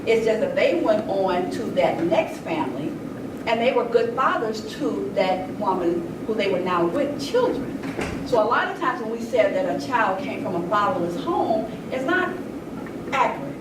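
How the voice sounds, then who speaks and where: A woman's voice: mid-range voice, big pitch swings, moderate pace. An older woman hosting an informal panel discussion, steering and interjecting as others talk. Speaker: discussion host. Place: large room at a live event. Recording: roomy, distant mic, noticeable background noise.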